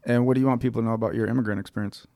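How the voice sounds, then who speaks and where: A man hosts an interview and asks his questions in an easygoing way, with "um" and "uh" hesitations. His voice has a gravelly fry and stays flat in pitch. The sound is dry and close, with no room echo. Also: very fast. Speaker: interview host.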